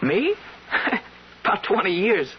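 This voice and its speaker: A young bellhop in a radio play, very high for a male voice. He is eager, polite and a little hesitant, with folksy deference, on a dull, noisy recording. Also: sing-song, slow pace, heavily acted. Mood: eager, surprised. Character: eager, polite nineteen-year-old hotel bellhop. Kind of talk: 1970s radio drama acting.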